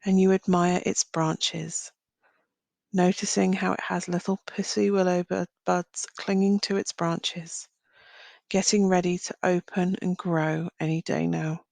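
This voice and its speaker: A woman leading a guided visualisation as a meditation guide, speaking gently in short phrases with long pauses. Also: fairly low pitch, flat delivery, creaky, dry recording. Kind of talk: guided visualisation, slow soothing narration.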